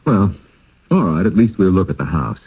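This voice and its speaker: An actor playing a reserved, skeptical former professor in a radio drama, measured and wary, with some hesitation. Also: male voice, sits low, sing-song, husky, exaggerated voice. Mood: wary, prickly. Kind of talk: 1980s radio drama acting. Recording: tinny band-limited old radio broadcast.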